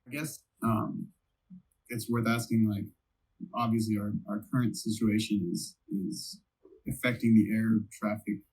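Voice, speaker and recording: A man's voice: lowish voice, flat delivery, a little slow, darkish tone, slightly rough, rough recording. A man interviewing someone in a casual, informal and tentative way.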